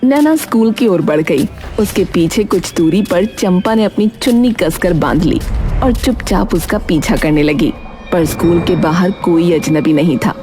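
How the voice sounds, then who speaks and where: Mellow, expressive woman storyteller. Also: on the low side, moderate pace, faint music behind. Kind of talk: scripted dramatic story narration.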